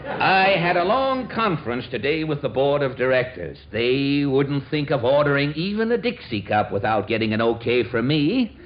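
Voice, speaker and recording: Man acting a blustering show-off, jovial, highly animated, 1940s radio comedy, muffled noisy recording. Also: moderate pace, a faint crowd, natural voice. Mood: boastful, pompous. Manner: pompous, jovial, showy, loud forced laugh. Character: brash boastful male braggart and suitor, loud backslapper.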